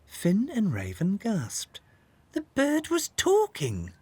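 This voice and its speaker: A man narrating a scripted children's story in an expressive, dramatic way. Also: high-pitched, slow pace, slightly rough, dry recording.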